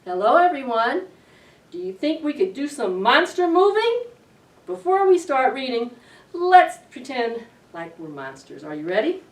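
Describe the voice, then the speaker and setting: A middle-aged woman hosting a children's storytime, reading aloud in an expressive, deliberate way to young listeners. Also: high voice. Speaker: library storytime host. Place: indoor library children's room. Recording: roomy echo, distant camera microphone.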